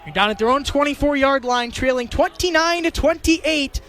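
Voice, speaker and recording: A man's voice: extremely high pitch, normal speed, a bit bright. A young man doing live radio play-by-play of a football game in vivid, clipped, detailed phrases. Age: young adult. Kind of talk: live play-by-play sports commentary.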